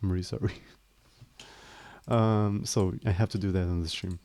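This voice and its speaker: Mellow-voiced man streaming while coding. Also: low voice, steady tone, moderate pace. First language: not English. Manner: hesitant and apologetic, frequent restarts. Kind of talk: casual live stream chat, explaining code.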